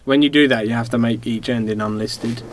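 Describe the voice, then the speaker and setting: A man's voice: mid-range voice, fairly even intonation, a little fast, a little hiss or hum. A man co-hosting a comedy podcast, bantering casually and jokily. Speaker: podcast co-host and narrator.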